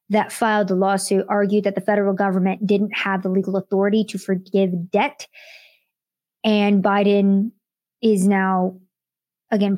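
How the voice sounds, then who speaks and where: A woman hosting a political commentary podcast, delivering an indignant, rapid-fire monologue. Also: medium pitch, darkish tone, no room sound. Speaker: podcast host.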